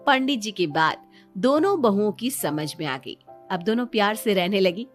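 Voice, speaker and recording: A woman's voice: medium pitch, animated, moderate pace, faint music behind. A woman reading scripted narration for a moral story as a storyteller.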